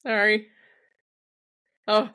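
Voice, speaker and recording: A woman's voice: medium pitch, level tone, very slow, bright, forceful tone, clean tone, no room sound, studio quality. A woman with a Midwestern American accent, co-hosting a podcast with casual, comedic storytelling in a giggly, playful manner. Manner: giggly, playful, acts out quotes. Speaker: podcast co-host.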